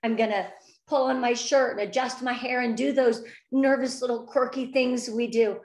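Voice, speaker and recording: A woman's voice: on the high side, steady tone, moderate pace, darkish tone, echoey room. A woman in her fifties, an interview guest, talking in an effusive, earnest way with nervous energy. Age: 50s. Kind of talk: emotional heartfelt interview.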